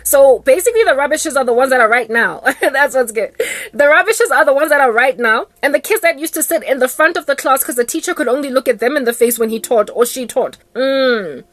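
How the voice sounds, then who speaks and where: A South African woman with a high voice delivers an informal sermon. She speaks emphatically and repeats her phrases to drive them home. The sound is close and dry, with no room around it. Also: animated, fairly quick, fairly bright tone, no echo. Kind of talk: impassioned informal sermon monologue.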